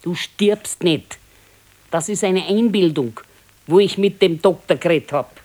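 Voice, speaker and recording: An actress playing a practical, modest old wife with a Bavarian accent, admonishing and matter-of-fact in a radio play. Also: female voice, lowish voice, a little slow, darkish tone. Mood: dismissive, reassuring. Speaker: radio drama actor. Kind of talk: 1970s naturalistic dialect radio play.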